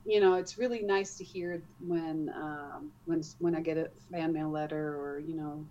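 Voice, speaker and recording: A woman from the Netherlands with a light Dutch accent, an author chatting in an interview, animated and quick to laugh. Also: deep voice, highs cut off, slight background noise. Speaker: author, guest. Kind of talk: casual interview.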